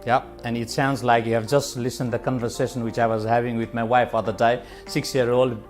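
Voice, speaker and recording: A man's voice: mid-range voice, little pitch movement, fairly quick. A man from Nepal interviewing a guest, enthusiastic and full of short affirmations. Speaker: interviewer, marketing agency. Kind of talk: interview chat. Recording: light music behind.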